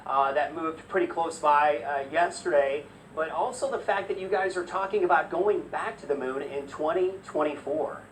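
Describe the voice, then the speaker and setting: A man interviews a guest in a conversational news style. His voice sits a bit high and comes from slightly off the mic, with faint background noise. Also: normal speed, few pauses, recorded from a distance, poor audio.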